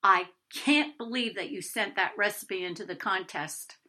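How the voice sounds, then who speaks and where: A woman's voice: medium pitch, a little slow, bright, forceful tone. A woman voicing a groggy, bemused woman who is no morning person, in a short story. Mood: astonished. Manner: droning, incredulous.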